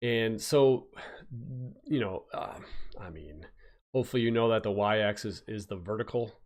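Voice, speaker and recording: Male physics teacher, slightly low voice, stuffy with a cold, rambling live-stream review. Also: moderate pace. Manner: rambling, casual, many fillers and restarts. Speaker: high school physics teacher. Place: home, recording a YouTube live stream.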